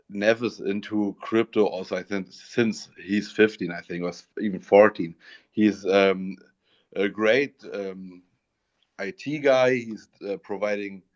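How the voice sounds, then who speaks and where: A man with a German accent, a guest in an online audio room, talking haltingly as he searches for English words. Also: lowish voice. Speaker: guest, project founder. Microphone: online voice call. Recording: muffled online voice call.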